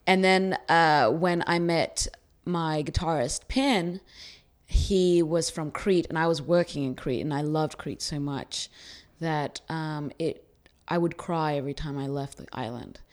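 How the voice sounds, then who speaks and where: A woman from Greece talks as a podcast guest, easygoing and rambling. She fills her sentences with "you know". Her voice sits very low for a woman. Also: measured pace. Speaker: musician guest. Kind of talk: casual interview chat.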